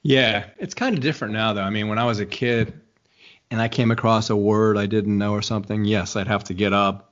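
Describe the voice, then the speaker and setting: A man's voice: lowish voice, steady tone, a little fast, fairly bright tone. An older man hosting a bookstore interview show, asking rambling, musing questions with many restarts. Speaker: bookstore radio host interviewing an author. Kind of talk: conversational interview. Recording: dull, lo-fi sound.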